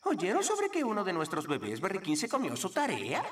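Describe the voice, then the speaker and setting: A woman's voice: medium pitch, huge pitch swings, brisk. A woman voicing a tiny berry creature who hosts a cartoon talent show in a showy, jokey manner. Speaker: master of ceremonies. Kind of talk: animated cartoon stage announcing. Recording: roomy, distant, very noisy stage sound.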